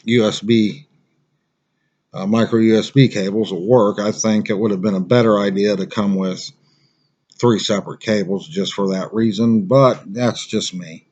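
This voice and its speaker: A man giving a casual product review in a plain-spoken, halting, conversational way. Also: on the low side, steady tone, darkish tone, highs cut off.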